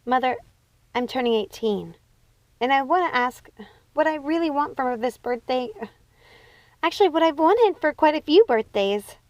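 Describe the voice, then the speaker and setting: A woman's voice: sits high, animated, moderate pace, long pauses, dry recording, voice pushed up. A woman voicing an earnest, timid teenage princess in a storybook, hesitant and pleading. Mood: hopeful, hesitant. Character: earnest, timid eighteen-year-old princess daughter.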